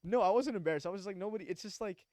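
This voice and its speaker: A man in his twenties from Pakistan, chatting on a podcast in an animated, emphatic way with slangy asides. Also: sits high, racing, no echo. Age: 20s. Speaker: podcast co-host. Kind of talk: casual chat.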